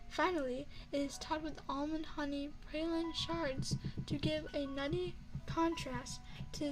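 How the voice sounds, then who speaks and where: A high, feminine voice belongs to a courtly vampire chef acted by a woman in an ASMR roleplay. She speaks with formal, refined poise and a teasing, seductive, quietly predatory air. The voice sits close to the microphone, with faint music underneath. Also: low-quality recording. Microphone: close binaural microphone.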